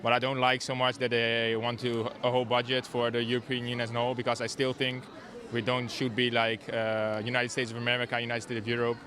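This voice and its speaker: Young male interviewee from the Netherlands, monotone, bright edge, hesitant. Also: medium pitch, fast. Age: 20s. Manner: flat, hesitant opinion-giving. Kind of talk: casual interview answer.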